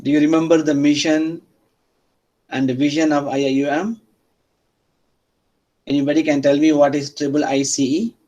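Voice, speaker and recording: A man lecturing a university class in a fairly high voice. He is a non-native English speaker who restarts and repeats phrases, often stopping to put questions to his students. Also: steady tone, normal speed, long pauses, dark tone, highs cut off. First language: not Arabic or English.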